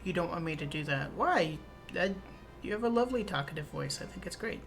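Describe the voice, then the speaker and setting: A woman's voice: on the low side, lively intonation, fairly quick, vocal fry. A woman with a Minnesotan accent, chatting casually and playfully while streaming a game. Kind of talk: casual gameplay chat. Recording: game music behind.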